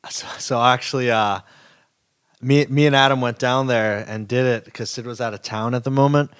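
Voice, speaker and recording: A man's voice: mid-range voice, fairly even intonation, normal speed, a bit bright, clean tone. A young man telling a story on a podcast, casual and chatty, with lots of filler words. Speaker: kombucha company co-founder.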